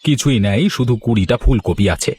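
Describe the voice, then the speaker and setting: A man's voice: mid-range voice, moderate pace, a little mellow, slightly rough, natural voice. A man playing a talkative, coaxing biryani eatery owner and doting husband in a Bengali cartoon. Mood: coaxing, affectionate. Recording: music behind the voice.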